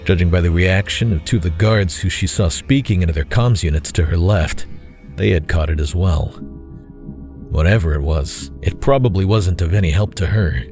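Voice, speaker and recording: A man's voice: low voice, normal speed, a little mellow, faint music behind. A man reading scripted dramatic narration as the narrator of an audio story.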